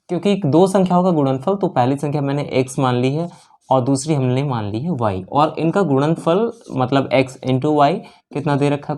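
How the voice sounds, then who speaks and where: Mellow-voiced man teaching maths step by step. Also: mid-range voice. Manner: repeats each step and checks in with listeners often; works sums aloud step by step, mixing English terms and numbers into the talk. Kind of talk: exam-prep maths lesson. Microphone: voice-over of a screen lesson.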